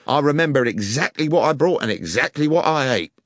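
Man voicing anxious railway guard, deferential, insistent, lively pitch. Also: fairly quick, dry recording, clean studio sound. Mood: defensive. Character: honest, anxious working-class male railway guard.

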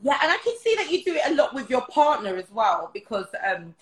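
A woman's voice: on the high side, sing-song, a little fast, a little hiss or hum. A woman hosting a casual interview over a video call, effusive and repeating herself as she praises her guest. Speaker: interview host. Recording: echoey room.

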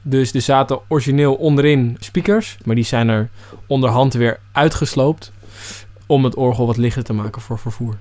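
A man's voice: medium pitch, normal speed, darkish tone. A man giving an informal, conversational explanation.

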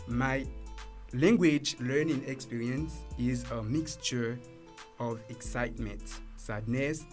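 Slow, careful young Haitian man. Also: mid-range voice. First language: Haitian Creole. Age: young adult. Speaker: English learner guest. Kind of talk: reading a prepared personal story.

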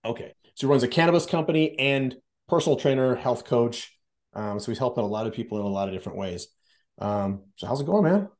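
A man's voice: mid-range voice, expressive, fast, a little mellow. Laid-back male podcast host. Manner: laid-back, filler-heavy questions. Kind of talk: casual interview hosting.

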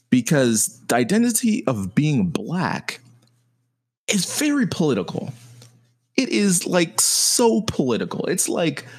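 A young adult man speaking in a thoughtful, conversational monologue, often pausing with "you know". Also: mid-range voice, dry recording, studio quality. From Jamaican heritage, grew up partly in America. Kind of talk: personal reflective monologue.

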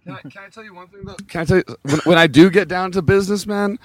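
A male comedian guest joking on a podcast, often with deadpan, mock-formal denials. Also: high voice, rapid-fire, no echo. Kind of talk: casual banter on a podcast.

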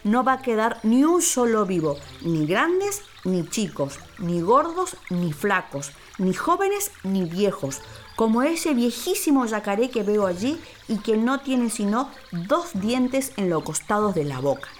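A woman's voice: medium pitch, big pitch swings, a little slow, faint music behind, voice pushed up. A woman voicing a bossy, mocking male warship officer with a commanding, taunting manner in a children's radio story. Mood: threatening, mocking.

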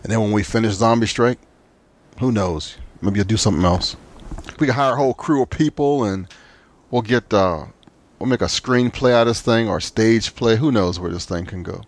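A man with a fairly low voice and a Southern US accent hosts a podcast interview. He talks informally and appreciatively, as in a casual chat with a friend. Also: normal speed.

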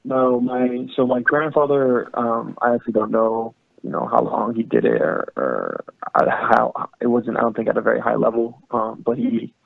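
A man's voice: medium pitch, lively intonation, normal speed, creaky, dry recording, narrow, tinny audio. A young man from France and California who plays professional soccer as a goalkeeper, answering interview questions in a thoughtful, easygoing, casual way. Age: young adult.

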